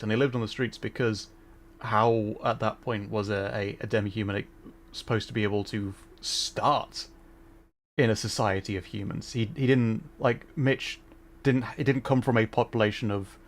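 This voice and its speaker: A man chatting casually as he streams a game, musing in a halting way and often trailing off. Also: lowish voice, fairly even intonation, a little hiss or hum. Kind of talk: casual livestream chat while gaming. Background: game music.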